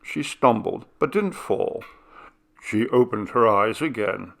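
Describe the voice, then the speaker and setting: Gravelly man reading a story. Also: lowish voice, animated, slow, fairly bright tone. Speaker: audiobook reader. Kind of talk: narrated short fiction reading.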